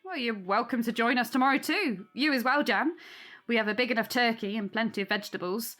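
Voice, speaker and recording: A woman's voice: fairly high pitch, a little fast, a bit bright, soft background music, lightly acted. A woman playing a kindly, welcoming hostess and soothing peacemaker in an audio drama. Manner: hospitable, soothing.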